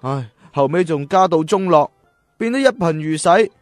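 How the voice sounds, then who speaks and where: Children's radio play: humble, earnest young male scholar played by an actor, courteous, easily puzzled, medium pitch, dry sound. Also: animated, moderate pace, dry recording, faint music behind, close to an everyday voice. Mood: resolute. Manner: earnest, polite, slightly bewildered. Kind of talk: children's radio drama acting.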